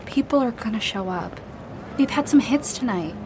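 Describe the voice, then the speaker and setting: An actress playing an earnest, hopeful young band member in an audio drama. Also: female voice, on the high side, expressive, moderate pace, background noise, lightly acted. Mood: reassuring.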